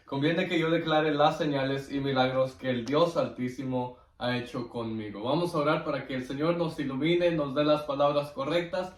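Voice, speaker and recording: Man, distant echoey recording. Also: a little high. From Guatemala. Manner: devout, earnest, halting. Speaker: interview guest giving testimony. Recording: roomy echo, distant microphone.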